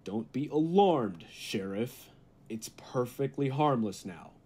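A man playing an earnest laboratory assistant in a 1940s-style radio drama, formal and measured. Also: medium pitch, lively intonation, mellow, slightly rough. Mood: calm, reassuring. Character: earnest, calm male laboratory assistant scientist.

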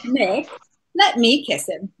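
A middle-aged actress playing a poised, playful detective's wife in old-time radio mystery comedy. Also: female voice, on the high side, very animated, slow, fairly bright tone, heavily acted. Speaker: actor. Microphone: video call.

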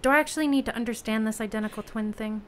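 A woman's voice: a little high, racing, a bit bright, slight background noise, close to an everyday voice. A woman playing a solitary raft dweller on an ocean world in an audio play, plain-spoken and questioning. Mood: guarded, puzzled.

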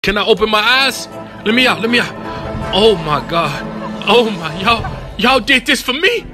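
A man plays an emotional chimpanzee, often pushed up high, gushing heartfelt thanks over clear background music. It is a comic animal dub. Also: sing-song, normal speed. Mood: overwhelmed. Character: sentimental, grateful male chimpanzee.

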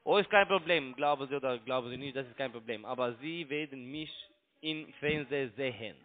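Man, foreign accent, non-native speaker, argumentative phone talk, repeated phrases, average pitch, narrow phone-line audio. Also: fairly quick. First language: not German. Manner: broken German, repeats phrases insistently. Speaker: phone broker and scam caller. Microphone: telephone.

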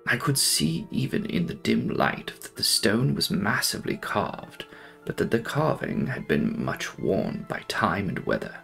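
Theatrical male narrator with creaky, swooping delivery. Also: sits low, huge pitch swings, fairly bright tone, faint music behind. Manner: theatrical, deliberate, formal. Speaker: audiobook narrator. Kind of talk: dramatic reading of a gothic novel.

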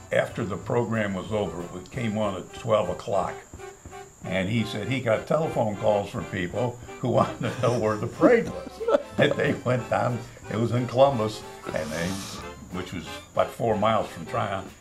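A man's voice: lowish voice, gravelly, low-quality recording. An older man telling an anecdote with chuckles, speaking into a handheld mic on a covered porch. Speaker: radio club founder. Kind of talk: casual storytelling. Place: covered porch with a stone wall.

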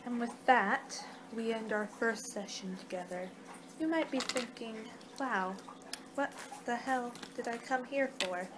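A young woman doing an ASMR card-reading role-play with a halting, unhurried delivery. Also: fairly high pitch. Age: young adult. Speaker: ASMR performer in a fortune-teller role-play. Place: small home room, indoors. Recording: noisy amateur webcam-style room recording.

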